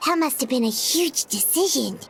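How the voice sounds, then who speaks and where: A woman voicing a tiny, excitable, childlike fairy companion in a video game, squeaky, exclamatory and expressive. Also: moderate pace, gravelly, a little hiss or hum, exaggerated voice. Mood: curious, amazed. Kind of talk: cartoonish game dialogue. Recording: music playing behind the voice.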